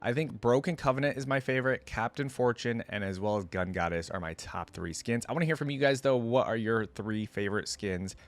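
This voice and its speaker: A man's voice: mid-range voice, lively intonation, a little fast, few pauses. A man giving casual, informal reaction commentary, enthusiastic and thinking aloud as he goes. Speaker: reaction video host.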